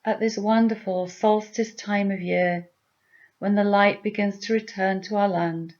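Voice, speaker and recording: A woman leading a guided meditation with gentle, soothing, deliberate instructions. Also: medium pitch, muffled recording.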